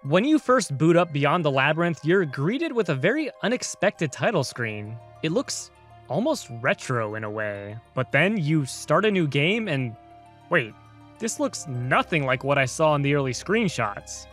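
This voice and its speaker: A man from the United States hosts a scripted video essay on games. His voice sits a little high for a man, and he narrates like an enthusiastic, conversational storyteller. Soft music plays behind him. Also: sing-song, measured pace. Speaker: video game reviewer and host.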